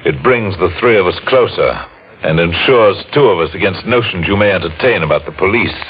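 Very deep-voiced suave devil, old radio. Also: male voice, animated, fairly quick. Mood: coolly menacing. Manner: formal, silky, taunting. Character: suave, sinister devilish male schemer, calmly menacing. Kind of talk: old-time radio horror acting. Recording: muffled vintage radio broadcast, faint noise.

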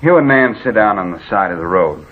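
A man's voice: lowish voice, animated, fairly quick, lightly acted. An actor playing an irritable, bossy man in a curt, exasperated manner, in 1940s radio drama style. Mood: irritated, curt. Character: irritable, bossy man stranded with his car. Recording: tinny, hissy old radio recording.

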